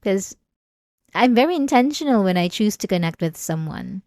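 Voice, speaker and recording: Woman acting a halting, obsessive patient, close and dry. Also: mid-range voice, expressive, dry recording, close to an everyday voice. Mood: vulnerable, earnest. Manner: hesitant, halting, with sighs and nervous soft laughs. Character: obsessive, manipulative female therapy patient, outwardly calm and vulnerable. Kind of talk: intimate ASMR roleplay monologue.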